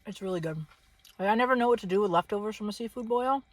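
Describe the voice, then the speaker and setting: A woman's voice: mid-range voice, fast talker, no room sound. A woman chatting casually and informally to viewers as the host of a travel vlog, upbeat and chatty. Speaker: travel vlogger, channel host.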